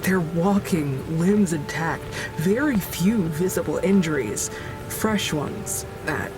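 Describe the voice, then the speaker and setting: An actor plays a weary, observant field operative recounting a rescue in an audio drama, in measured, halting phrases. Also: natural voice. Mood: sober, measured. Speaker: narrator and field worker character. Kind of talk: audio drama narration, log-like account. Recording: music bed and engine rumble behind. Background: music, vehicle sounds.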